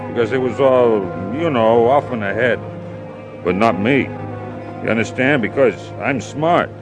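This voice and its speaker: An actor playing a simple-minded young man who poisoned someone and brags about his cunning, in halting, childlike speech. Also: medium pitch, over music, close to an everyday voice. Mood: boastful. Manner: slow, halting, childlike boasting. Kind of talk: 1950s radio drama acting. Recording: old radio broadcast recording.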